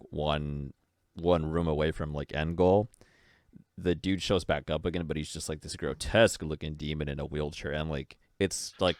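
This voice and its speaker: A man's voice: extremely low pitch, no echo, studio quality. A man talking casually about films as a co-host in a group podcast chat.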